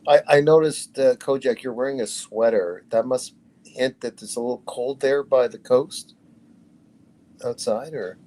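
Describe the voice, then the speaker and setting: A man's voice: medium pitch, measured pace, warm tone, low-quality recording. A man making small talk as a guest on a livestream. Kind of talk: casual livestream chat.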